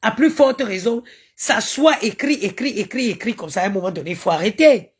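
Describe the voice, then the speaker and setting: A woman's voice: medium pitch, animated, a little fast, husky. A woman from Cameroon, ranting indignantly on a live stream, stammering and chanting invocations. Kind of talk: impassioned live-stream rant.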